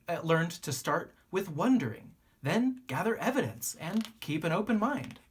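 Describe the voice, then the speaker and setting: Storybook narrator for young listeners: lively, swooping pitch, lightly husky tone, teacherly read-aloud delivery. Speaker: teacher reading a picture book aloud.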